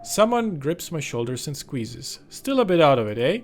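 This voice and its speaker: A man's voice: fairly high pitch, big pitch swings, normal speed. A man presenting a video game, alternating between commentary and reading its story text aloud. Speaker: gaming channel host. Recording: music under the voice. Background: game music.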